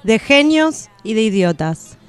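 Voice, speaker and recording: A woman's voice: on the high side, very animated, a little slow, clear, resonant tone, soft background music. An actress playing an aching yet unbroken, defiant everywoman, reciting in solemn and emphatic poetic radio theatre. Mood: unbroken, proud.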